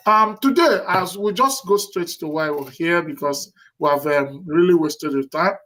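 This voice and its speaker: Male host with a slightly higher voice, courteous, rambling questions. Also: fairly bright tone, poor audio. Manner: rambling, polite, many fillers. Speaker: show host. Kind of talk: interview hosting.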